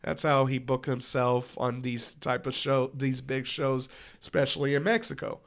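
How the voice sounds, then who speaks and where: A man in his thirties, a guest on a casual podcast chat, speaking haltingly, with repeated words and frequent chuckles. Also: medium pitch, steady tone, creaky. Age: 30s.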